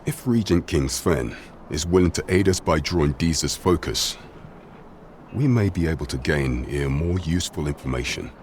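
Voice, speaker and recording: Super deep serious noble advisor from a fantasy game, polite old-timey formal talk, bit of noise behind. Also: male voice, animated, a little mellow. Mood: pensive, approving. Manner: formal, measured, archaic courtly diction. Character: grave, courteous male royal loyalist plotting against the regent. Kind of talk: scripted fantasy video game dialogue.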